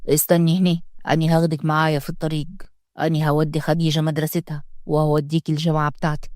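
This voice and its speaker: A woman's voice: low-pitched, flat delivery, racing, a little mellow, no room sound, studio quality, voice pushed down, lightly acted. A woman voicing a strict, domineering father, giving curt orders in a storybook reading. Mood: stern. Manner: commanding, curt, lays down rules. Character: stern domineering Upper Egyptian man, strict father.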